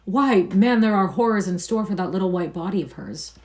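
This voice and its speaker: A woman's voice: medium pitch, animated, brisk, darkish tone, close to an everyday voice. A woman voicing a grave, pained male painter in an audiobook-style reading, earnest and reproachful. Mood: distressed pleading.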